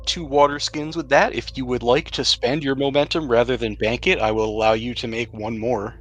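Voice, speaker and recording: A man's voice: medium pitch, fairly even intonation, fairly quick, fairly bright tone, slight background noise. A man hosting and narrating a tabletop roleplaying game, explaining things to players in an easygoing way. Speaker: podcast host and game master. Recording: music bed behind the voice. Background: background music.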